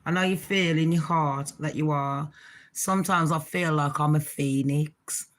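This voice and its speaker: Brief: woman host, deep voice, slow and blunt, swears freely, casual reaction chat. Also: steady tone. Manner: blunt, swearing, chatty with laughs. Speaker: channel host.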